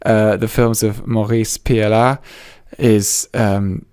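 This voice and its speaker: A man hosting a casual podcast chat, talking haltingly with lots of fillers and dry jokes. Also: on the low side, steady tone, darkish tone, clear, resonant tone, dry recording. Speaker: podcast host.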